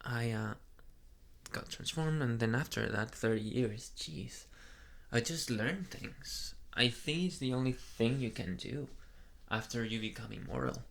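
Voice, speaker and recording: A man voicing a soft, flustered young male vampire in an ASMR boyfriend roleplay, speaking hesitantly and earnestly in an intimate murmur. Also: mid-range voice, a little slow, gravelly. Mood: hesitant, reflective.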